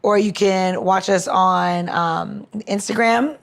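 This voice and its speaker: A woman's voice: medium pitch, steady tone, slow, gravelly. A woman co-hosting a podcast in casual chat, with an informal, sweary way of talking. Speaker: podcast co-host and cocktail expert.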